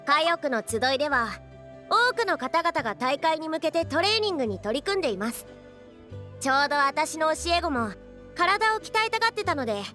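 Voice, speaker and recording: An actress playing a confident, tough and proud female athletic coach in an anime-style video game, energetic and brisk. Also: sits high, soft background music, exaggerated voice.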